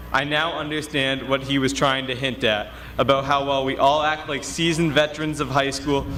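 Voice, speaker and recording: A man's voice: medium pitch, noisy background. A young man in his late teens reading a prepared graduation speech as a student.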